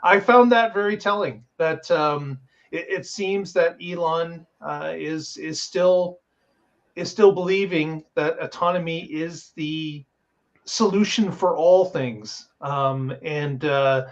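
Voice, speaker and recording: A man giving casual commentary as a panelist, halting and pausing between phrases, on a dull lo-fi microphone. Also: on the high side. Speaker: talk show panelist.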